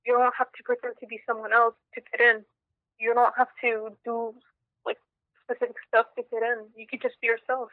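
A woman's voice: a little high, flat delivery, brisk, no echo. A young woman answering interview questions in a chatty way, with many "like"s. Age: young adult. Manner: chatty, lots of "like". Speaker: interviewee, former student. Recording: tinny phone-like line.

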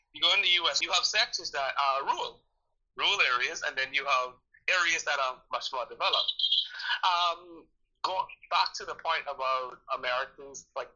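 A man's voice: on the high side, sharp tone, dull audio. A man from the Bahamas with a Bahamian accent, answering interview questions casually and expressively, often repeating phrases for emphasis. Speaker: interviewee, tourism ministry worker.

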